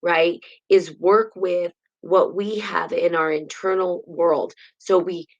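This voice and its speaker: A woman's voice: on the low side, steady tone, slow pace, vocal fry, dull audio. A woman hosting a live spiritual talk stream, teaching casually in a rambling way and greeting viewers by name, heard on a built-in device mic. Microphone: built-in device mic instead of her usual mic.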